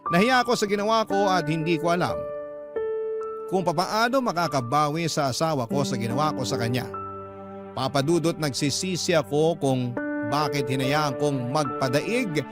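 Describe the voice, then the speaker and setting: A man narrating a listener's letter in a calm, clear, measured radio-storytelling voice, pitched a little high for a man. Instrumental music plays clearly beneath the narration. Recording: narration over background music.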